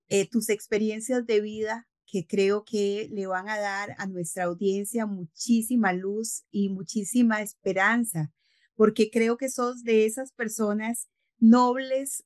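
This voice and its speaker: A woman's voice: medium pitch, fairly even intonation, unhurried, no echo. Costa rican lady host, gushing and formal, dead dry audio. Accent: Costa Rican Spanish. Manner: warm, effusive, formal. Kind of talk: interview hosting.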